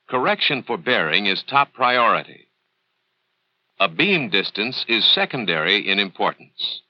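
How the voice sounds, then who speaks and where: Deep American narrator, dull vintage film sound. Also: male voice, no echo. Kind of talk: formal scripted instructional narration. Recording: dull, dry 1970s training film soundtrack.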